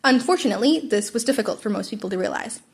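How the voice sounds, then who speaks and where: Female narrator for informal fan fiction podcast, slightly high-pitched reading voice. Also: animated, racing, slightly rough. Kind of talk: story narration, informal podfic.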